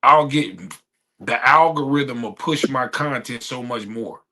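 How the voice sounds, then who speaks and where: A man with a raspy voice hosting a casual livestream chat, talking in laid-back slang and often asking "you know what I'm saying?". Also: mid-range voice, measured pace, sharp tone. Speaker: livestream host.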